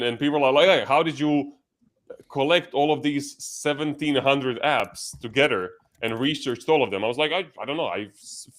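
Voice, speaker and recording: A man's voice: mid-range voice, fairly bright tone. A man from Croatia with a Croatian accent, talking casually and chattily as a guest on a panel, often interrupting himself. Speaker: guest, tech entrepreneur.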